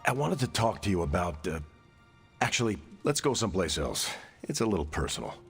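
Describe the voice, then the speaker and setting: Deep, chatty friendly male superhero. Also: big pitch swings, a little fast, creaky, breathy edge, soft background music, full character voice. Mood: confiding. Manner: casual, chatty, wry. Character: easygoing, friendly male superhero, sincere and jokey. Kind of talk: video game cutscene acting.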